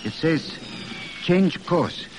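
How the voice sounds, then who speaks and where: Animated submarine radioman. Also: fairly high pitch, extremely slow. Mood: dutiful, reporting. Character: dutiful Italian submarine radio operator reporting to captain. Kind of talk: old-time radio drama acting. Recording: noisy old radio broadcast recording.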